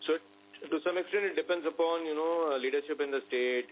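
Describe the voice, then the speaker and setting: A man with an Indian accent answers as a company executive on an earnings call, explaining business in a halting, measured way. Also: a little high. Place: conference call. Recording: narrow phone line with faint noise and hum.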